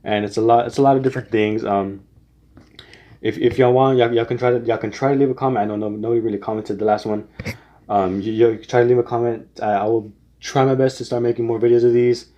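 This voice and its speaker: A man's voice: medium pitch, fairly even intonation, quick pace, dark tone, lo-fi sound. A young man giving casual singing tips, rambling with many restarts and fillers. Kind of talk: casual spoken tutorial.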